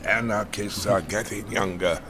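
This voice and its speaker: Slow, ponderous old anarchist. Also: male voice, on the low side, fairly even intonation, fairly bright tone, slight background noise. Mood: grumbling. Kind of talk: radio play acting.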